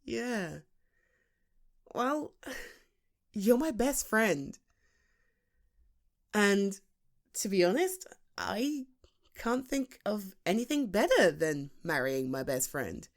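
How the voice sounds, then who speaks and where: A woman acting as a British female best friend in an intimate audio roleplay. She is playful, teasing and affectionate, with very animated pitch and an unhurried pace. Her delivery is natural and halting, with a giggly edge. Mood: fond, wistful. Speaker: ASMR roleplay voice performer.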